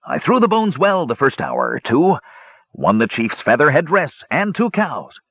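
Man acting a roguish male dwarf, wheedling, folksy, sheepish, animated pitch, slightly husky, dull recording. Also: a little slow, dry recording, heavily acted. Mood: sheepish, wistful. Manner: hesitant, hedging, sighing confessions with folksy turns. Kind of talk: audiobook fantasy character voice.

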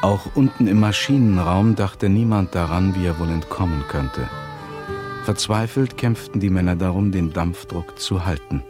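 A composed narrator played by a man tells a chronicle in a radio drama. His voice is low, with a measured storytelling delivery. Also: natural voice. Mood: grave. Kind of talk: scripted radio play narration.

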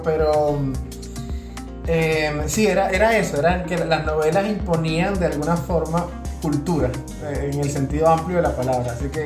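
A Venezuelan man co-hosting a casual podcast, explaining things in a hesitant way and often repeating words as he goes. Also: fairly high pitch. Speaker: podcast co-host. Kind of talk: casual conversational commentary. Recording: roomy echo, music behind.

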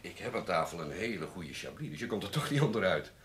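A man's voice: low-pitched, very animated, fast talker, fairly bright tone, a little hiss or hum. A man playing a suave, flirtatious host in a Dutch radio play, with a playful, sociable manner. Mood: charming. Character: suave, flirtatious man hosting lunch at home.

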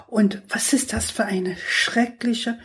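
A woman's voice: a little high, steady tone, slow pace. An older woman from the United States, a native English speaker, chatting as an interview guest, often searching for words and adding tag questions. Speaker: guest, author. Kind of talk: casual conversational interview.

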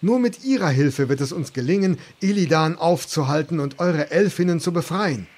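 A grave archdruid delivers formal, declamatory quest speech. The voice is a bit high and husky. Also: male voice, animated, normal speed, a little mellow. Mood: solemn. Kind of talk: comedy audio play acting.

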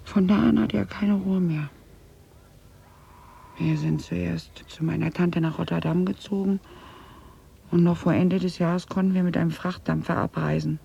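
An actress playing a haunted middle-aged woman in a German radio drama, confiding grave family guilt in a halting, husky voice. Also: on the low side, steady tone, dark tone, full character voice. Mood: troubled. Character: haunted Dutch woman confessing her family's wartime guilt. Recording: slightly dull 1980s radio recording.

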